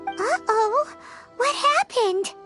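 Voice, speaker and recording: A woman's voice: expressive, very slow, full character voice. A woman voicing a tiny childlike fairy companion, cheerful and inquisitive, in an anime video game. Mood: startled. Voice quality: squeaky, childlike. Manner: refers to herself in third person. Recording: music behind the voice.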